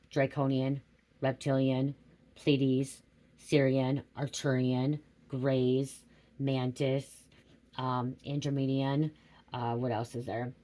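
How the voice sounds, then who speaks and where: A woman's voice: a bass voice, dark tone. Rambling, profane woman tarot reader. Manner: rambling, swears often, keeps asking "okay?". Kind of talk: rambling casual livestream reading.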